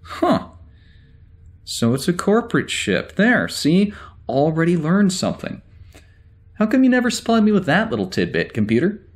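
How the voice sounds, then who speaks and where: A man playing a wry, easily exasperated security officer in a sci-fi audio drama, talking in a halting, conversational way. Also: sits high, wildly swooping pitch.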